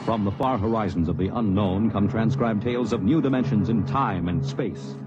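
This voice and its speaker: Low, dramatic 1950s radio announcer man. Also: moderate pace, muffled recording. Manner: dramatic, declamatory. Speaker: announcer and narrator. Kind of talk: scripted 1950s radio narration. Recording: old radio broadcast, loud music.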